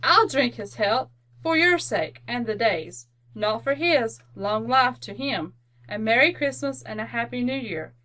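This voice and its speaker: A loving, sorrowful wife and mother speaks in a high voice. Her delivery is tender and earnest, in the style of old-time radio drama. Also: lively intonation, measured pace, bright, forceful tone. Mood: grudging.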